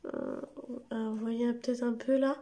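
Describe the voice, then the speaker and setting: A young woman talks straight to her camera at home late at night, her voice hoarse and gravelly from a cold. She sits a little high in pitch and rambles, pausing often and restarting her sentences. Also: huge pitch swings, dark tone. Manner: rambling, many hesitations and restarts. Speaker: family vlogger. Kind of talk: casual direct-to-camera vlog. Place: home at night under artificial light.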